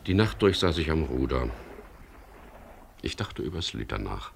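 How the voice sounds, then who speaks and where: An actor playing a dry, businesslike skipper in a radio crime drama, with a gravelly voice. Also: male voice, a bass voice, sing-song, unhurried, slight background noise, exaggerated voice. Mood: sober, reflective. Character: wary, businesslike skipper of a chartered sailboat, also narrating. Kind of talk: 1970s German radio crime drama, narration and dialogue.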